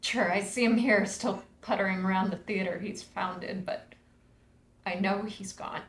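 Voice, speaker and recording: A woman's voice: medium pitch, steady tone, unhurried, close to an everyday voice. An actress reading the part of a woman reflecting on losing her father, earnest and thoughtful, at a table read. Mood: reflective, grieving. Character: grieving woman, Maggie, lost her father. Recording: echoey room.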